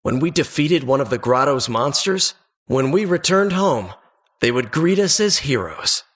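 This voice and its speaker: Husky, dramatic adventure narrator. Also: male voice, medium pitch, animated, measured pace, a bit bright. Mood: wistful, dramatic. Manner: suspenseful, theatrical, wistful storyteller. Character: adventurer recalling childhood dungeon exploits, earnest and dramatic. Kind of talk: dramatic storybook narration for a video game.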